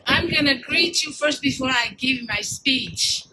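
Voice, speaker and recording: A woman from Samoa, a grandmother and volunteer, giving a short, emphatic prepared speech with pauses between phrases. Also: high voice, heavy background noise. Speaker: community volunteer. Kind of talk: prepared speech at an outdoor event.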